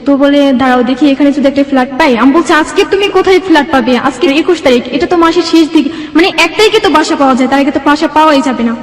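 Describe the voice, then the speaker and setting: A woman's voice: high voice, racing, hardly any pauses. A young Bangladeshi woman telling her own life story as an interview guest. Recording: echoey room with long reverb.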